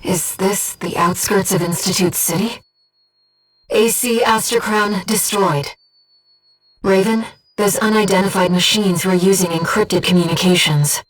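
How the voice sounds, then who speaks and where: Woman acting slow, clear, gentle guiding game companion. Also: mid-range voice, fairly even intonation, distant mic, faint music behind, close to an everyday voice, poor audio. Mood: solemn, gentle. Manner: measured, composed, earnest. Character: gentle, earnest disembodied female voice guiding a mercenary pilot. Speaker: mission support operator. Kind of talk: scripted video game voice acting.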